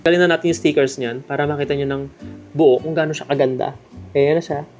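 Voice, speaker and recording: A man's voice: on the high side, fairly even intonation, a little fast, a little mellow. A man with a Filipino accent gives a casual, chatty product review, speaking directly to viewers in a jokey way. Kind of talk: casual unboxing vlog narration. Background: background music.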